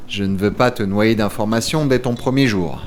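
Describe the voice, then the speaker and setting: A man's voice: on the low side, moderate pace, close to an everyday voice. A man playing a reassuring, drily teasing veteran employee showing a newcomer around, in a French audio comedy. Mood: explanatory. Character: wry, reassuring male office guide, patient veteran employee.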